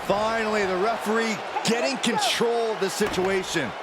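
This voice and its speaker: A man's voice: sits high, fairly even intonation, moderate pace, natural voice. A man voicing an upbeat wrestling color commentator who reacts to the action. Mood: reactive. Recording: loud crowd and arena noise.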